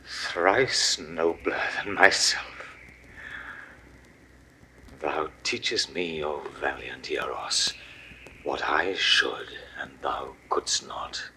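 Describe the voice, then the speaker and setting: An actor playing a despairing middle-aged Roman general in a 1960s verse drama, speaking gravely and slowly, often in hushed whispers, rising to anguished cries. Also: male voice, extremely low pitch, slight background noise, heavily acted, poor audio. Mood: resigned. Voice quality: husky, lapsing into hushed whispers. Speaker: stage actor.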